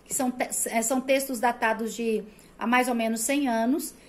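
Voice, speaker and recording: A woman's voice: on the high side, fairly even intonation, moderate pace, darkish tone. A woman from Brazil with a Brazilian Portuguese accent that pulls the R, giving a recorded prep-course lecture in an explanatory way, full of rhetorical questions and "né?" tags. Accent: Brazilian Portuguese, rolled R of the interior. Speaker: Portuguese teacher.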